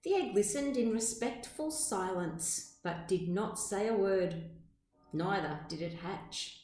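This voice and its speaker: A woman reading a children's storybook aloud like a teacher, with measured, expressive, dramatic phrasing. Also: medium pitch. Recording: echoey room sound.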